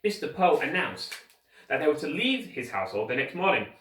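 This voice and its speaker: Man, storybook narration, teacherly, very lively intonation, deliberate, roomy echo. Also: a little high. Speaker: teacher reading aloud. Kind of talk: animated scripted storybook narration for children. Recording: roomy echo, distant microphone.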